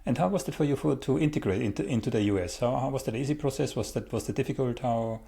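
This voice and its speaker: A man's voice: mid-range voice, fast, vocal fry, dark tone. A man with a mid-range voice interviews a guest. He asks questions carefully and stops to correct and restart himself.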